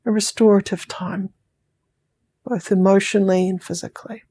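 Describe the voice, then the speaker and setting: A woman with a New Zealand accent guiding a sleep hypnosis session, speaking in slow, soothing, drawn-out phrases that sometimes drop to a whisper. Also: mid-range voice, steady tone, mellow, husky.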